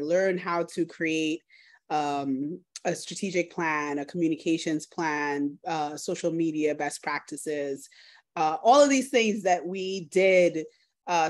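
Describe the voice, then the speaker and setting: A woman's voice: deep voice, a little mellow. A woman in her thirties from Trinidad, speaking informally about her own experience on a video call from home. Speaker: food justice organizer. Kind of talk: personal talk in an online workshop. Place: living room at home, indoors. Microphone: laptop video call.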